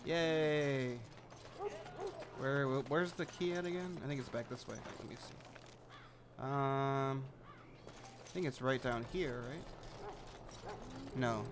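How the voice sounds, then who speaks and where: A male gamer commentates casually on his own play. His voice sits at an ordinary pitch, and he is flippant and foul-mouthed, often muttering, with faint noise behind him. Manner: sweary, flippant, muttering to himself.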